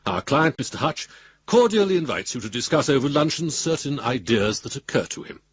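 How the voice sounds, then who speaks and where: An actor playing a pompous senior lawyer in a radio drama, reading a formal, wordy letter in a measured way. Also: male voice, mid-range voice, sing-song, close to an everyday voice. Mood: smug, veiled threat. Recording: dull lo-fi radio recording.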